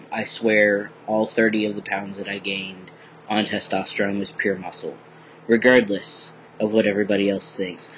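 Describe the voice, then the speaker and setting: A young man vlogging in a bright room at home, rambling casually with lots of ums, a little groggy from just waking up. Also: fairly low pitch, slight background noise. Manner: rambling, many ums, relaxed and candid. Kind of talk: casual personal video diary. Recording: dull lo-fi webcam audio.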